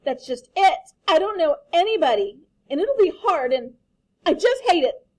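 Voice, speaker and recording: A sulky schoolgirl from a picture book, acted out by a woman reading aloud, high in pitch. She protests in a whiny way and plainly dreads her new school. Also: big pitch swings, moderate pace, darkish tone.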